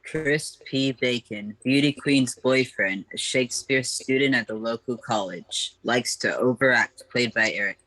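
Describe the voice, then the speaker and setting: A man's voice: medium pitch, measured pace, hardly any pauses, sharp tone, low-quality recording. A man reading out a cast list for an amateur radio play. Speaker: cast announcer.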